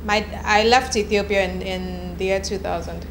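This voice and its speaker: A woman's voice: mid-range voice, fairly even intonation, normal speed. A woman in her thirties, from Nigeria, answering interview questions in a candid, chatty way with restarts. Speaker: copywriter, interviewee. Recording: echoey room with background noise.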